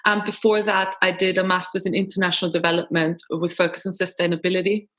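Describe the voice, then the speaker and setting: A woman's voice: on the low side, level tone, quick pace, a bit bright. Chatty, enthusiastic woman in her thirties. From Austria. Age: 30s. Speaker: festival organiser and tour manager. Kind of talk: webinar Q&A answers.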